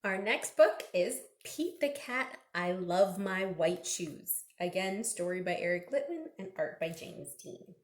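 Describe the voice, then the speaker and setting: A woman reading a picture book aloud to young children in an animated, interactive way, as a librarian at storytime. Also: on the low side. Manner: animated, slow and deliberate questioning with exclamations. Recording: roomy, echoing sound.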